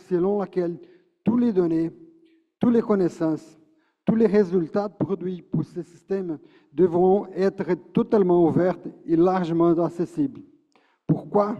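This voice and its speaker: Brazilian guy with a high voice giving a formal conference speech in a big echoey hall, muffled sound, corrects himself a lot. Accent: Brazilian Portuguese. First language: Portuguese. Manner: formal, declamatory, with self-corrections. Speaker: conference speaker. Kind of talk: prepared speech.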